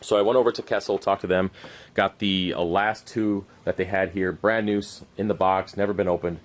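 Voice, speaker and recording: A man's voice: deep voice, steady tone, a little fast. A worn-out man who is losing his voice and whose throat is going scratchy. He hosts his aquarium channel with a loose, rambling, unscripted update full of fillers and restarts, recorded on a camera mic as he walks around his cluttered home fish room. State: exhausted. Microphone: camera mic while walking around.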